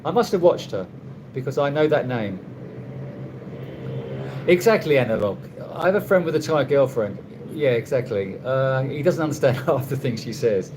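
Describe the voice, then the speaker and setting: A man casually answering viewers' questions on a live stream, rambling and halting with many restarts and a dry, wry humor. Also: medium pitch, animated, noisy background. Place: condo room.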